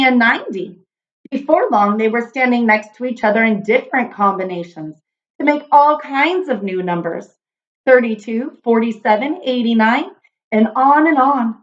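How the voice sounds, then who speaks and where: A woman narrating a children's storybook in an expressive read-aloud style. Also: on the high side, highs cut off.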